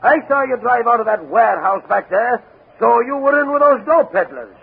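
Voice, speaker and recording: Cocky irish cop on old radio, really high gravelly voice, tinny and full of noise. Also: male voice, expressive, moderate pace, full character voice. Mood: cocky, threatening. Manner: blustering, threatening. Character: gruff, cocky Irish police patrolman making an arrest. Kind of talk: 1940s radio acting. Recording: noisy, tinny 1940s radio recording.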